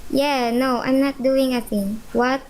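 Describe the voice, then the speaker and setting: A woman playing an eager, pestering junior high schoolgirl with a whiny, pleading manner in a radio play. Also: steady tone, a little slow, darkish tone, low-quality recording. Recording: faint background noise.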